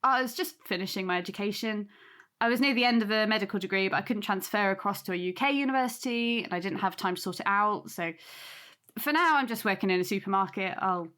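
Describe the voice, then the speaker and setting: An actress playing a modest young aunt hosting in an audio drama, polite, gentle and eager to please. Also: female voice, medium pitch, quick pace, close to an everyday voice. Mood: subdued.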